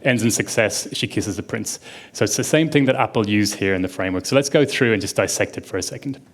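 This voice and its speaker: A man's voice: fairly low pitch, fast. Chatty man giving a conference talk. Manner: chatty and enthusiastic, lots of "like". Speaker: conference speaker. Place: conference stage before an audience.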